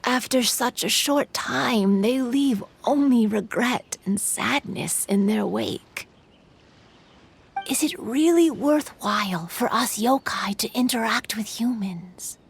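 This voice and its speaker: A woman voicing a shy, melancholy spirit in a video game, soft and breathy, hesitant and sorrowful. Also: on the high side, slow pace, full character voice. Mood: wistful, sad. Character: melancholy female game spirit, shy, wistful, guilt-ridden.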